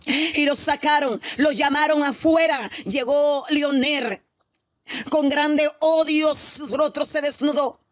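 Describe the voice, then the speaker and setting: A woman's voice: high voice, steady tone, takes it slowly, no echo, clean studio sound. A woman preacher recounting a prophetic vision in a fervent, repetitive Pentecostal storytelling style, breaking in with exclamations of praise. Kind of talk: Pentecostal testimony sermon, storytelling with interjected hallelujahs.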